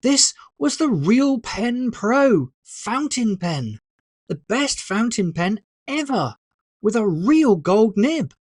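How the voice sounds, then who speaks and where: An author narrating a story in an expressive, deliberate, scripted audiobook style. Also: medium pitch, husky, dry recording, clean studio sound.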